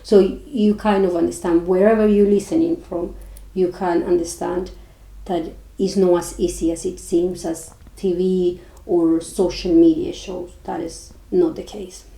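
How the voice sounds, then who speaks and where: A woman's voice: lowish voice, level tone, gravelly, dark tone. Spanish-accented woman, echoey room. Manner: earnest, halting with restarts. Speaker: podcast co-host. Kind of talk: casual chat.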